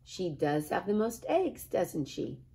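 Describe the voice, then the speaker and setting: A middle-aged woman, a kindergarten teacher, reading a picture book and chatting to children in an expressive style. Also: fairly low pitch, takes it slowly, vocal fry. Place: small classroom, indoors.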